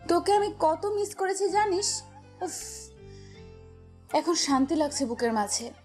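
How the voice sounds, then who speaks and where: A woman's voice: extremely high pitch, animated, a little slow, darkish tone, faint music behind, voice pushed up. A woman voicing an affectionate, teasing young wife in a romantic audio story, playful and tender in manner. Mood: warm relief.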